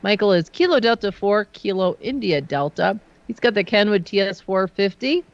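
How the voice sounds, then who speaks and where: A hoarse woman co-host who is fighting a cold, reading listener details in a casual chat. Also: mid-range voice, animated, moderate pace, no echo, muffled recording. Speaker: co-host presenting listener photos.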